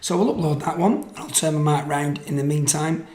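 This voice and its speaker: Male tutorial presenter, informal and explanatory, slightly high pitch, echoey room recording. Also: brisk, a little mellow. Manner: casual, explanatory. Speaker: presenter of electronics tutorial videos. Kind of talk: informal tutorial narration of code and demo. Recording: roomy, echoey microphone sound.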